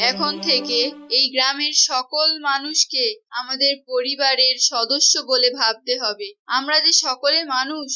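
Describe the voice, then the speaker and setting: A woman's voice: sits high, bright, forceful tone, slight background noise, full character voice. A woman playing a kind, earnest village mother in a cartoon. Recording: muffled, music behind.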